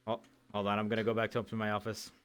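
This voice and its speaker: A man's voice: on the low side, completely flat delivery, racing, music in the background. A man chatting casually during an online VR roleplay session.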